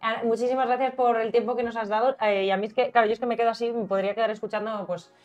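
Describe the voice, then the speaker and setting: A woman hosting a podcast, talking in a quick, upbeat way. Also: a little high, steady tone, darkish tone, lo-fi sound, soft background music. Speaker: podcast host. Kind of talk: podcast interview.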